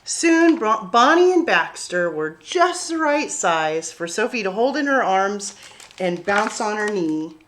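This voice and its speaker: A woman reading a picture book aloud to children, narrating expressively with deliberate, dramatic phrasing. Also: medium pitch, sing-song, a bit bright. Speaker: children's story-time reader.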